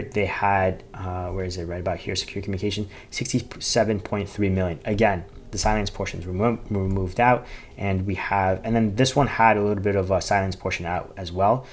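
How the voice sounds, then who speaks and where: A man giving informal, unscripted finance commentary, reading out figures in a halting, repetitive way and often correcting himself. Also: low voice, slight background noise. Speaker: finance YouTuber reviewing company earnings.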